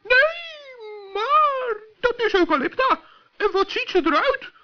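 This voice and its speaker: Tiny cheerful gnome, really squeaky high, polite n nosy, kids radio play, dull old recording. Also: male voice, expressive, slow, sharp tone, full character voice. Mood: curious surprise. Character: small, cheerful, inquisitive, kindly male forest gnome. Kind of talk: children's radio play acting.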